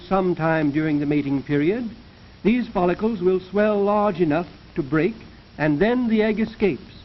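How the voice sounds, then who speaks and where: High-voiced man narrating vintage educational film. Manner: formal, measured lecturing. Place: recorded voice-over for a film. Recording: dull, noisy 1930s film soundtrack.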